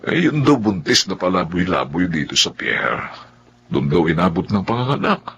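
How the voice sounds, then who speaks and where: An actor playing a weary, grumbling working-class husband in a radio drama, exasperated and colloquial. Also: male voice, low-pitched, big pitch swings, moderate pace, a bit bright, highs cut off, slight background noise, heavily acted. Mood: gossipy. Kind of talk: Filipino radio drama acting.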